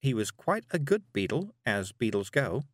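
A man doing a fussy, high-pitched lady witch. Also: sing-song, normal speed, a bit bright, no echo. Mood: flustered. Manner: apologetic, dithering, genteel. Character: fussy, absent-minded, timid middle-aged woman witch.

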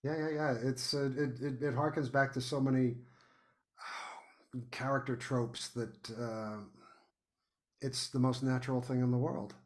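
An American man chatting as an interview guest, thoughtful and halting, often repeating words as he goes. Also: mid-range voice, fairly even intonation. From United States. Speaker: guest playwright and podcast host. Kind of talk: casual interview chat.

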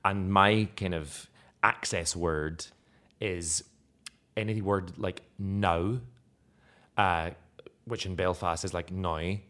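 A man's voice: sits low, fairly even intonation, slow, long pauses, fairly bright tone. A young Scottish man, an actor being interviewed, chatting casually and self-deprecatingly, laughing often. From Scotland.

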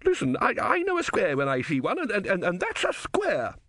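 A pack of petulant philosophers bickering over bets, all put on by one male reader. The voices climb high and jump around excitedly. Close, dry sound. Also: unhurried, no room sound, heavily acted. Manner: petulant and squabbling. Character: squabbling grown male philosophers, petulant bettors.

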